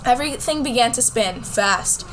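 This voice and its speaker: A woman plays a competitive high school girl telling her story in a radio play. Her voice sits a little high and her delivery is casual and wry. A faint noise runs behind her. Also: expressive, moderate pace, natural voice, rough recording. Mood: narrating. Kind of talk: scripted first-person radio drama narration.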